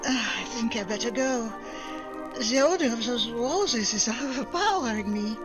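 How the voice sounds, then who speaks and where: A woman's voice: on the high side, lively intonation, normal speed, over music, close to an everyday voice. An actress playing a proud, glamorous young Frenchwoman in a 1940s-style radio drama, speaking formally and romantically. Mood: tender, flustered. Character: proud glamorous young French woman, resistance money smuggler.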